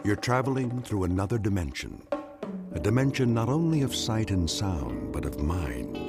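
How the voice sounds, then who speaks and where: Male series narrator, fairly deep and authoritative, deliberate scripted read over light music. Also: sing-song.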